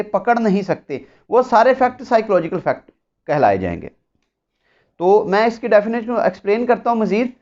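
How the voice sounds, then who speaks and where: A man's voice: high voice, darkish tone. Pakistani man lecturing, dull lo-fi recording. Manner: deliberate, repeats and rephrases points. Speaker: law lecturer. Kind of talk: explanatory lecture, reading and explaining definitions. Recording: dull lo-fi sound.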